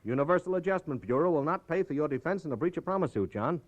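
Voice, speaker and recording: A man's voice: on the high side, animated, fast. An actor playing a breezy, teasing, cajoling insurance company man in a 1950s radio drama. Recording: dull vintage radio recording.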